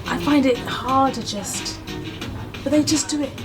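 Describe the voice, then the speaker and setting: A woman's voice: sits high, a little slow, over music. A young British woman, chatting in an interview about teaching and running a business, acting out voices as she talks. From Britain. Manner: chatty, animated, acts out quoted voices. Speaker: business owner and English teacher. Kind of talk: casual sit-down interview.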